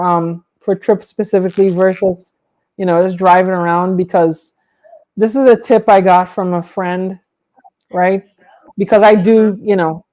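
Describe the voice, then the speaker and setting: A woman's voice: lowish voice, darkish tone. Woman co-hosting a casual livestream. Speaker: livestream co-host. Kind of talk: casual livestream chat about a hobby.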